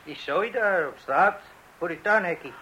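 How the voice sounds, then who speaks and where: A man's voice: high voice, animated, a little slow, pushed and bright, full character voice, poor audio. A man playing a stubborn, rule-bound refuse collector with a blunt manner. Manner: blunt, colloquial, insistent. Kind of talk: radio play acting.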